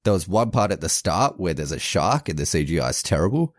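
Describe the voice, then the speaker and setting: Very deep-voiced man chatting casually. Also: big pitch swings, a little fast, no room sound, studio quality. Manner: informal, rambling, frequent "like". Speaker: podcast co-host. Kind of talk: casual movie review chat.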